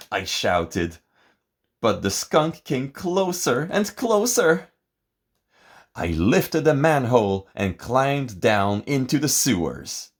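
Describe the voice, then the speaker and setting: Male storybook reader, lower-pitched, dramatic and expressive, with sweeping intonation. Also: husky. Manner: theatrical, expressive, deliberate. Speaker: picture book reader. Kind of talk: dramatic scripted storybook narration.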